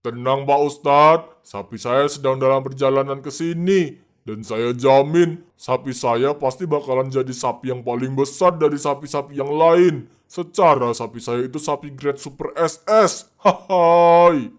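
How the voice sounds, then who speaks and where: A man's voice: mid-range voice, a little slow, clear, resonant tone, lightly acted. A man voicing a boastful, arrogant middle-aged village man in a cartoon, bragging and laughing at his own boasts. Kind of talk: cartoon voice acting.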